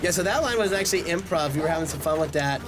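Animated high-pitched young man gaming. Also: racing, background noise. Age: young adult. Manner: excitable, self-deprecating, often exclaiming in play. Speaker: voice actor and gaming video host. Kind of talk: casual let's play commentary. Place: small indoor room. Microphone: desk microphone close by, with headset worn. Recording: game music behind the voice.

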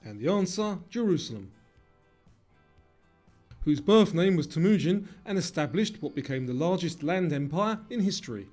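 A man delivering scripted quiz narration, reading out questions and answers with clear enunciation. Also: fairly high pitch, big pitch swings, moderate pace, a little mellow. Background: music.

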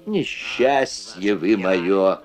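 A man's voice: lowish voice, expressive, drawn-out words. A man voicing a stern, grumbling but grudgingly helpful adult stork in a dubbed puppet cartoon. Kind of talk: dubbed puppet animation dialogue. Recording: old film soundtrack with loud music.

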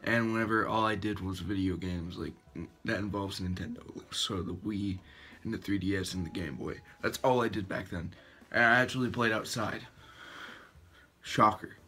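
A man's voice: on the low side, steady tone, normal speed, sharp tone, breathy edge. A teenage boy vlogging casually from his bedroom, self-mocking and chatty as he laughs at himself. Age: teens. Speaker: YouTuber. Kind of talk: casual reaction vlog. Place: bedroom, indoors. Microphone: built-in webcam mic at arm's length. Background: faint background music.

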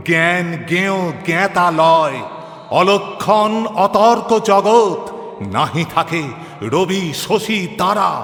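A man gives a dramatic recitation of devotional verse at a very slow pace. His delivery is solemn and declamatory, stretching phrases toward chant. His mid-pitched voice rings in a long reverb, with music behind it. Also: a bit bright. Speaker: poetry reciter.

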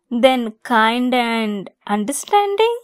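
A woman's voice: on the high side, no echo, studio quality, exaggerated voice. A woman acting a giggly, teasing young woman fond of a longtime friend, in a romantic ASMR roleplay.

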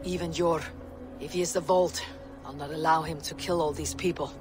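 A woman playing a stern, determined Viking warrior in a video game, blunt and commanding in a measured delivery. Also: low-pitched, steady tone, music in the background, lightly acted. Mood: resolute. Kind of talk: dramatic video game cutscene acting.

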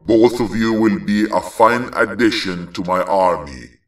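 A man's voice: lowish voice, unhurried, lightly acted. A man voicing a grandiose monster villain from a video game, pompous and commanding. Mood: menacing triumph. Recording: echoey, distant, loud music behind.

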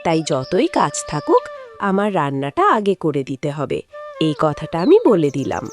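A woman's voice: low voice, big pitch swings, moderate pace, soft background music. A woman playing a vain, lazy, bossy village wife in a cartoon, scolding and whining as she demands things. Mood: impatient, demanding. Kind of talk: exaggerated cartoon dialogue.

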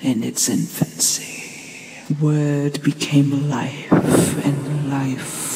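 A performer voicing a gentle, ancient dragon teacher in a hushed, breathy, deliberate ASMR fantasy roleplay, calm and solemn with long pauses. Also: mid-range voice, very noisy. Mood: reverent, instructive.

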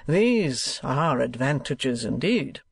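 A man voicing a polite, mildly amused and sceptical gentleman traveller in an audiobook, measured and dry. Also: on the high side, animated, no room sound. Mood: dryly amused.

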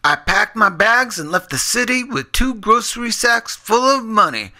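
A man's voice: high-pitched, very animated, normal speed, pushed and bright, voice pushed up, heavily acted. A man voicing an eccentric backwoods mountain scientist with a homespun, earnest twang, in an audiobook. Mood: folksy storytelling. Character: folksy backwoods mountain scientist, eccentric male lab director. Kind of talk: audiobook character reading.

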